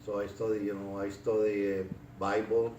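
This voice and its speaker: Older puerto rican guy, real low voice, lots of uh and restarts, sounds far off in an echoey room. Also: flat delivery, a little mellow, lo-fi sound. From Puerto Rico. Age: 60s. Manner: halting, many fillers and restarts. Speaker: interviewee. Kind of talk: casual life-story interview. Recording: echoey room, distant mic, noisy.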